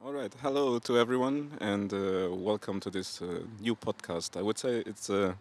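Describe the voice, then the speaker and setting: A man's voice: on the low side, moderate pace. A man hosting a podcast interview, speaking with frequent hesitations; a Luxembourgish native speaking English. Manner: hesitant, many uhs. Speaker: podcast host. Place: outdoors by a venue.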